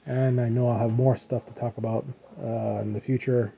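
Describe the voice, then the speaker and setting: A man hosting his own podcast in a casual, rambling solo chat, his voice a little rough from a post-nasal drip. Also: mid-range voice, level tone, normal speed, mellow, muffled recording, slight background noise. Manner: rambling, self-correcting, chuckles now and then. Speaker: podcast host.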